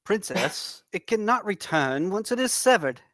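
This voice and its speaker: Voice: mid-range voice, sing-song, a little slow, fairly bright tone, no room sound, clean studio sound. An actor in a comedic script read playing a courteous, long-suffering janitor who serves a princess, speaking in a polite, stiffly formal way. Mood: placating.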